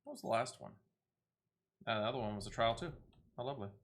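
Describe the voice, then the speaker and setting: A man's voice: mid-range voice, quick pace. A man giving casual gameplay commentary, partly muttering to himself and partly reading quest text aloud as he plays. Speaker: gaming video host.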